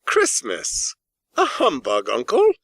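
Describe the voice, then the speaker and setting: Man acting a cheerful young gentleman, high and eager. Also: wildly swooping pitch, slow, creaky, no room sound, studio quality, full character voice. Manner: exclamatory, eager, pleading. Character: cheerful, earnest young nephew, warm-hearted Victorian gentleman. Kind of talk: audiobook character acting.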